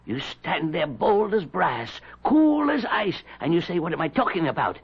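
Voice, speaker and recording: A suspicious, scolding colonial gentleman in a vintage radio play. His voice is husky and full of gravel, and he blusters and sneers his accusations. The recording is slightly dull. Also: high-pitched, wildly swooping pitch, normal speed, full character voice. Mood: accusing, indignant. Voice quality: raspy, creaky. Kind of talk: 1970s radio drama acting.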